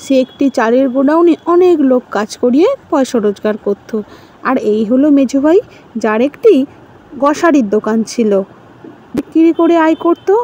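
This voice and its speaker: A woman reading scripted storybook narration for an animated moral tale. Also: high voice, normal speed, a little hiss or hum, rough recording.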